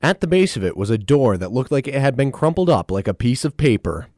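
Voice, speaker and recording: A man's voice: medium pitch, very animated, a little fast, a little mellow, dry recording, clean studio sound. A man narrating an audio drama in a scripted storytelling style.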